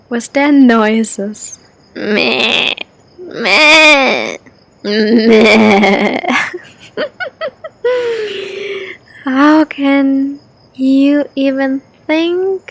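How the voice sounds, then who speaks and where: A woman leading a guided sleep meditation, speaking in short, deliberate, spaced-out phrases with gentle instruction. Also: high-pitched, no echo.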